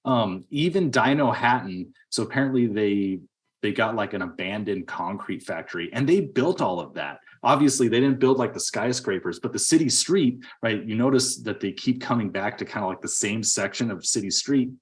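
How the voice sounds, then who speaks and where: Low-voiced man chatting about films. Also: expressive, moderate pace. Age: 30s or 40s. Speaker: podcast co-host. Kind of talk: casual film discussion.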